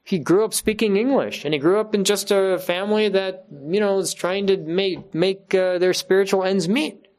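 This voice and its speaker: A man's voice: on the high side, a little mellow. A man speaks to his community at a gathering, delivering a spontaneous religious talk. His manner is earnest and emphatic. He often restates a line to drive it home. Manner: earnest, emphatic, repeats key phrases for stress. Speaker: religious teacher addressing his congregation.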